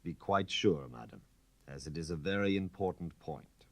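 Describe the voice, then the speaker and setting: A man's voice: low-pitched, animated, moderate pace, no echo. A man voicing a methodical police commissary in a storybook reading, formal and pointed in his questioning. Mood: pointed, serious.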